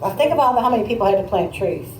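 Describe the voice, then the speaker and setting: A woman teacher reading a picture book aloud, pausing to comment and put questions to her listeners in a conversational way. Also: fairly high pitch, animated, a little mellow. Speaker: teacher demonstrating a read aloud to pupils. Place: echoey indoor classroom. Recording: roomy, distant mic, faint background noise.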